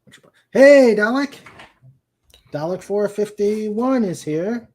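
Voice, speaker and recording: A man chatting casually as co-host of a livestream, halting and often searching for his words. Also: high voice, lively intonation, mellow.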